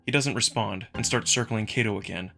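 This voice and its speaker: A man's voice: lowish voice, a little fast, vocal fry, pushed and bright, soft background music. A man narrating a visual novel in the first person as a dramatic story reading. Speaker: narrator and voice performer.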